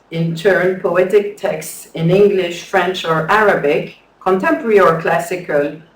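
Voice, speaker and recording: A man presenting an academic paper at a conference, in a measured, careful way with some hesitant restarts. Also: sits high, a little hiss or hum. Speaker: conference speaker. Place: lecture hall. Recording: echoey hall, distant mic.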